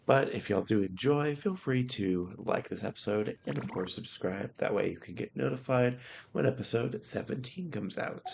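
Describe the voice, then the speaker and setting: A man hosting casual let's-play commentary, hoarse from illness, laid-back and clearing his throat often. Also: mid-range voice, normal speed, darkish tone, slight background noise. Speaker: gameplay host.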